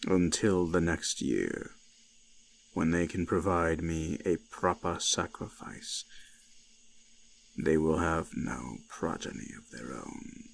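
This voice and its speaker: A man playing an ancient eldritch forest god, menacing yet seductive, speaking in slow archaic phrases with hushed, breathy whispers. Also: sits low, heavily acted, poor audio. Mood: grave, menacing. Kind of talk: scripted roleplay audio, slow dramatic monologue.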